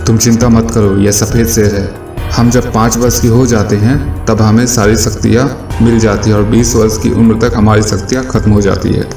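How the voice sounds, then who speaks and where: Man voicing a low reassuring father lion. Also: little pitch movement, moderate pace, echoey room, recorded from a distance, over music, lightly acted. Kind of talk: animated moral story dialogue.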